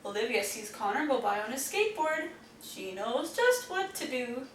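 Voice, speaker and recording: A woman reading children's stories aloud, with an expressive, deliberate storytelling manner for young listeners. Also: on the high side. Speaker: storybook reader. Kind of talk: children's read-aloud narration. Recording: roomy, distant mic, faint noise.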